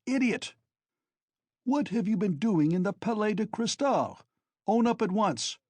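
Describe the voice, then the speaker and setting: A loud, blustering young man, as a male narrator acts him out, scolding in a raised voice that leaps up and down. Beneath it the reader's tone stays mellow and faintly husky, recorded close and dry. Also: high-pitched, animated, unhurried, no echo, pitched above the performer's own voice. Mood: furious scolding. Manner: shouting, scolding.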